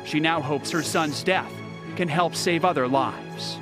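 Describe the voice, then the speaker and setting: A man's voice: fairly high pitch, unhurried, music in the background. A man reading a scripted news report as a reporter.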